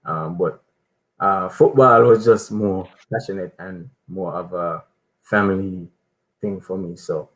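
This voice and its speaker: Young man from Saint Kitts, lowish voice, relaxed and soft-spoken, lots of ums. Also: measured pace, low-quality recording. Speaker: footballer, interview guest. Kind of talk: casual interview answers.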